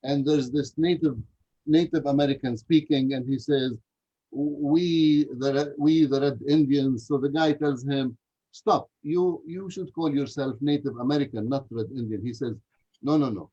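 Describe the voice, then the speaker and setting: A man's voice: medium pitch, brisk, warm tone. Probing man on a dull lo-fi line. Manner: probing, questioning, tells anecdotes with many restarts. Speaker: panelist. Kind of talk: online panel debate.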